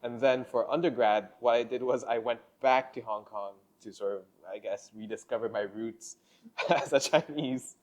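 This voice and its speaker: A young man from the Philippines hosting a podcast, speaking in a measured, hesitant way with many fillers. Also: mid-range voice. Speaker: podcast host. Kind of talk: casual interview conversation.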